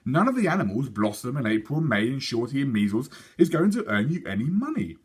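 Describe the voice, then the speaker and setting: A man voicing a reasonable, practical father in a storybook, patient and gently questioning. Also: mid-range voice, very animated, fast, breathy edge, voice pushed up.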